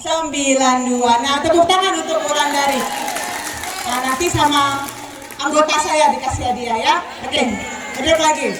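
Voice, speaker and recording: Woman, about 30, high voice, playful speaker working a student crowd in an echoey hall. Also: steady tone, normal speed, very noisy. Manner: chatty, teasing, calls students up front. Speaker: guest speaker visiting a school. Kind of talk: lively speech to students, joking and quizzing.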